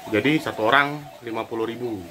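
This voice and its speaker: A man's voice: medium pitch, fairly even intonation, brisk. A man with a Jakarta-area Indonesian accent chatting casually as he shows viewers around an open-air cafe. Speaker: vlogger. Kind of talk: casual vlog chat, showing place and menu to viewers. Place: open-sided hilltop cafe. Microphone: handheld phone camera. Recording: noisy handheld phone video with birdsong. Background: birds, ambient noise, friends chatting.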